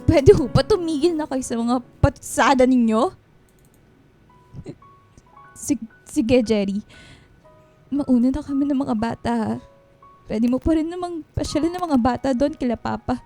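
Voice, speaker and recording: A high-pitched Filipino wife and mother in a radio play. She is estranged and firm, yet sounds concerned. Music runs underneath. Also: unhurried, long pauses, mellow. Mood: firm, apologetic. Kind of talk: Tagalog radio drama acting.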